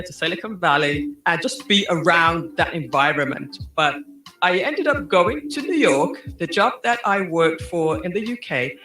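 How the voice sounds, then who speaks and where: A woman from Birmingham, England, with a Birmingham accent, telling stories as a guest in an upbeat, animated way. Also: lowish voice. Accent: Birmingham English. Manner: animated, upbeat storyteller with a quick laugh. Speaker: guest, digital marketing strategist. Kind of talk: casual interview chat. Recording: roomy echo.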